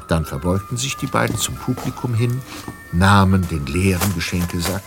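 A man narrates a story from a book in a scripted reading style. His voice sits fairly low, with music playing underneath. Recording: music behind the voice.

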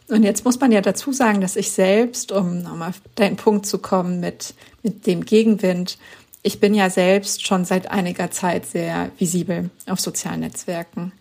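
A young woman speaks with earnest emphasis as an author in a podcast interview. Her voice sits at a medium pitch, and she often rushes through phrases. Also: vocal fry, darkish tone. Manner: earnest, emphatic, quick explaining. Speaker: author guest.

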